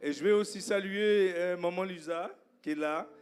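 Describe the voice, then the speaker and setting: A man with a Guadeloupean accent and Creole as his first language speaks to a church congregation before his sermon. His voice sits a little high for a man, and he talks in halting bursts full of fillers and restarts. Soft music plays faintly behind him. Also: clear, resonant tone. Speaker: guest preacher. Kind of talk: informal welcome before a sermon. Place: church service.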